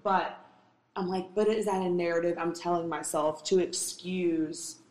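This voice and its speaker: A raspy-voiced woman in her twenties chatting candidly and telling a personal story. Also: fairly low pitch, fairly even intonation, a little slow, darkish tone. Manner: candid storytelling, swears freely. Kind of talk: casual chat. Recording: echoey room.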